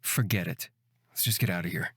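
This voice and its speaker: A man's voice: deep voice, brisk, a bit bright. A man playing an aloof, irritable young man who scolds curtly in a fantasy visual novel. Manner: curt, exasperated.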